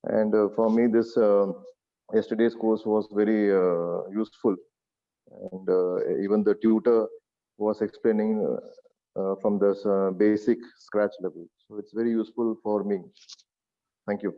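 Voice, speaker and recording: A man from Mumbai who works as a sales manager, introducing himself in a steady, businesslike way. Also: lowish voice, a little slow, muffled recording. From Mumbai, India.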